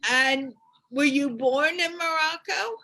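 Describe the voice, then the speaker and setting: A woman hosting a show, asking encouraging questions in a deliberate way on a video call. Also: sits high, pushed and bright, low-quality recording. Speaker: host.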